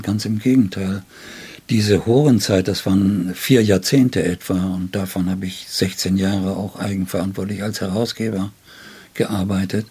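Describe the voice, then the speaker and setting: A man's voice: low-pitched, darkish tone, slightly rough. An older man, a poet being interviewed, speaking in a reflective way. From Germany. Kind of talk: reflective interview talk and poetry reading.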